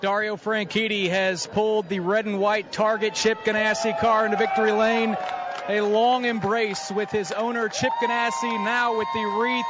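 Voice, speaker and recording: An American man reporting live on motorsport for radio from pit road, with emphatic play-by-play announcing. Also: high-pitched. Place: outdoor pit lane at a racetrack. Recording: lo-fi radio feed, loud racetrack noise.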